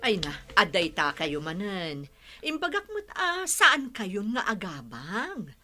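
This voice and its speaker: A woman's voice: mid-range voice, wildly swooping pitch, slow pace, pushed and bright, heavily acted. An actress playing a generous but scolding, insistent godmother with a Filipino Ilocano accent, in radio drama style.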